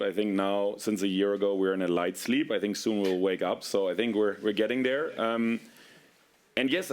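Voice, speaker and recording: A man's voice: deep voice, a little mellow. A male venture investor on a panel, upbeat, often saying like. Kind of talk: panel discussion answer.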